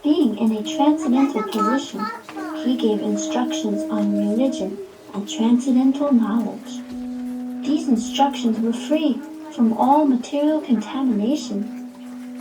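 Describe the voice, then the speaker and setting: Woman playing high-voiced, devout boy prince. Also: lightly acted. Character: devout young boy prince, earnest and serene. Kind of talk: devotional puppet show acting. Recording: roomy, distant mic.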